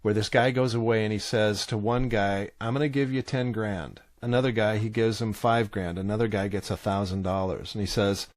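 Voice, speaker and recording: A man with a fairly low voice talks as a guest in a dry recording. He is conversational and emphatic, teaching through stories and repeating his key points. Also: steady tone, normal speed, few pauses, no echo. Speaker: podcast guest, author and teacher. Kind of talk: conversational storytelling in an interview.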